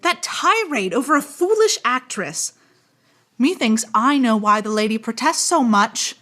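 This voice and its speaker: Woman acting a very high-voiced, effusive confidante. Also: lively intonation, measured pace, bright, forceful tone. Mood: admiring, concerned. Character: admiring, worried, teasing close friend and confidante. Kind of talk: radio theatre acting.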